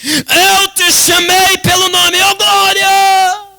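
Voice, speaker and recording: High, bright-voiced Brazilian man preaching. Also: level tone, drawn-out words, vocal fry. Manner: emphatic, dramatic, with rhetorical repetition and direct address to the congregation. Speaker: pastor preaching. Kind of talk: impassioned church sermon. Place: church service.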